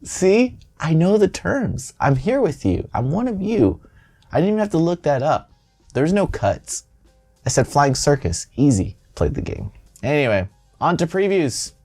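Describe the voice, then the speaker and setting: A man's voice: a little high, sing-song, unhurried, a little mellow. A man hosting a gaming news show, reading scripted headlines with casual, playful and self-deprecating asides. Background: music.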